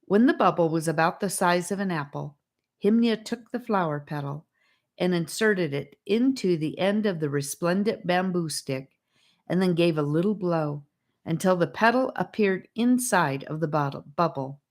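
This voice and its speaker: A woman narrating a children's fantasy audiobook in a gentle, even manner. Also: fairly low pitch, moderate pace. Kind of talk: storybook narration.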